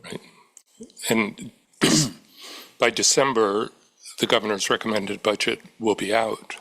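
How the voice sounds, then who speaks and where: Slow, gravelly man chairing a meeting. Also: on the low side, fairly bright tone, rough recording. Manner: brief, prompting questions. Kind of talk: public meeting remarks.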